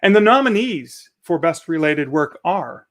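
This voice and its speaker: Male emcee over a video call, slightly high voice, light jokes between award reads. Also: big pitch swings, unhurried, a bit bright. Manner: jokey, upbeat emcee. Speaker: awards host. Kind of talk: live-streamed awards presentation.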